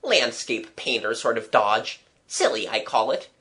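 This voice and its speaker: A woman's voice: extremely low pitch, big pitch swings, measured pace, a bit bright, exaggerated voice. A woman voicing a cocky, matter-of-fact schoolboy in a storybook, with a blunt, slangy way of talking. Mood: smug, scornful.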